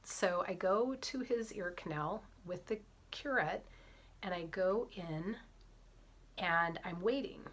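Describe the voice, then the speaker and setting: Lowish-voiced woman with a cold, chatty. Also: slow pace. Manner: chatty, animated storytelling with frequent soft whispered asides. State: has a cold. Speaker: vlogger, physician assistant and fitness coach. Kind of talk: casual storytelling to camera.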